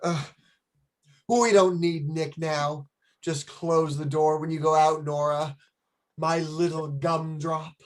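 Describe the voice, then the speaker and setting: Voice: mid-range voice, flat delivery, takes it slowly, close to an everyday voice. A performer playing an anxious, sappy, lovestruck bridegroom in a detective radio play. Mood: gushing.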